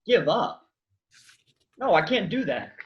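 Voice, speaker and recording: A man's voice: sits high, very animated, slow pace, full character voice. A man playing an earnest, argumentative man who wants to die, in a staged reading with dull sound. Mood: agitated. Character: earnest, argumentative, despairing man set on dying.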